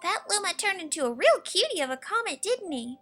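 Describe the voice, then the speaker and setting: Storybook star child, voiced by a woman: small, excitable, devoted, high bright voice, light music behind. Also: fairly quick, exaggerated voice. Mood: proud, chirpy.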